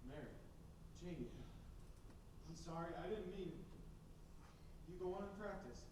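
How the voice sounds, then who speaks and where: A frantic father at the end of his rope, as a man acts him out in a live radio play, lurching from gentle pleading to angry shouting. His voice is high for a man and swoops widely. Heard from far off on a very echoey stage with noise behind it. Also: expressive, moderate pace. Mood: agitated. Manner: shifts from tender to shouting, pleading. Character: desperate, hot-tempered family man, a father. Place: theater stage.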